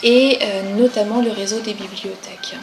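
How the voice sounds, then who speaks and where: A woman's voice: medium pitch, fairly even intonation, normal speed, background noise. A woman coordinating an event, explaining in an interview who takes part.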